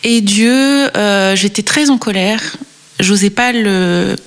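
A woman in her thirties, a guest on an interview program. She tells her personal story slowly and thoughtfully. Her speech is full of hesitations and soft pauses. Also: medium pitch. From France (Marseille, Réunion). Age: 30s. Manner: hesitant, reflective, many fillers.

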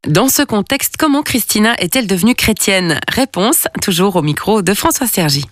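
A woman's voice: mid-range voice, lively intonation, moderate pace, no room sound, clean studio sound. A woman presenting the program, reading a scripted introduction in a polished announcer style. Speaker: show presenter.

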